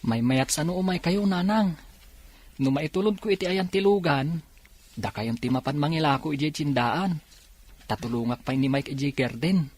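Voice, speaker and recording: An actor with an Ilocano accent playing a dutiful, patient young eldest son from a poor farm in a radio drama, earnest and respectful. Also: male voice, on the high side, expressive, normal speed, darkish tone. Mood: dutiful, willing. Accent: Filipino, Ilocano.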